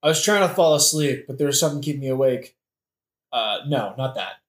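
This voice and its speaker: A woman's voice: rumbling low, lively intonation, brisk. A woman playing a sarcastic, melodramatic young prince in a modern comedic audio play, with theatrical delivery. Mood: smug. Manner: theatrical, sardonic. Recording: roomy echo.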